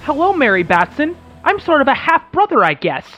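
A man's voice: very high-pitched, sing-song, a little fast, sharp tone, lo-fi sound, faint music behind, heavily acted. A man playing an eager, plucky teenage newsboy sidekick in an amateur comic-book radio play. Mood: friendly.

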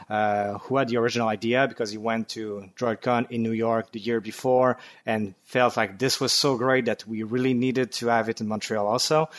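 A man in his thirties from Quebec with a French Canadian accent, a guest on a casual podcast interview who pauses often with "uh" fillers. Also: mid-range voice, a bit bright. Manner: thoughtful, frequent "uh" fillers. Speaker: guest developer.

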